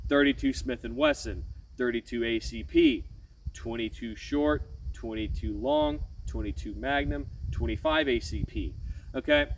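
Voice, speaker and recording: A man's voice: mid-range voice, unhurried, recorded from a distance, a little hiss or hum, rough recording. A man with a head cold explaining things casually as a channel host, nasal-sounding and filling gaps with lots of ums and restarts. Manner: frequent "um" fillers and self-corrections. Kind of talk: casual firearms explainer to camera.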